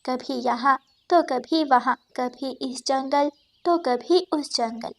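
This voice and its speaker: A woman voicing a caring, worried mother bird in an animated moral story. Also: sits high, takes it slowly. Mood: sad, resigned.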